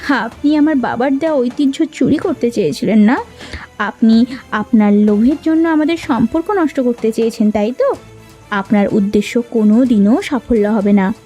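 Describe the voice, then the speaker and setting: A woman's voice: a little high, normal speed, warm tone, faint music behind, lightly acted. Woman voicing a stern, indignant elder sister. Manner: indignant, scolding. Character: stern elder sister running a hill kitchen. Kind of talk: cartoon voice acting.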